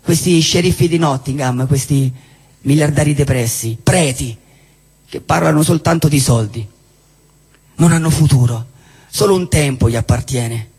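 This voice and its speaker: High-voiced husky man declaiming on stage. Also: a little mellow. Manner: emphatic, delivered in measured lists. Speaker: stage performer at a live show. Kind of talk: theatrical spoken-word political declamation. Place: indoor cinema-theatre venue with long reverb. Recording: reverberant hall, live show with music.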